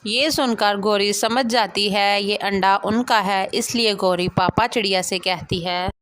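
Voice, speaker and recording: A woman's voice: mid-range voice, fairly even intonation, measured pace, a bit bright, no echo. A woman narrating a children's cartoon moral story from a script.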